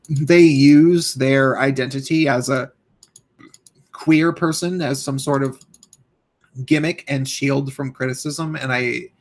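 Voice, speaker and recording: A young man with a hoarse voice, live-streaming and ranting casually, rambling with many fillers and restarts. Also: mid-range voice.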